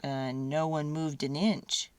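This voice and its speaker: A woman reading a children's storybook aloud dramatically, with theatrical, deliberate phrasing and drawn-out words. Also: low-pitched, level tone, noisy background.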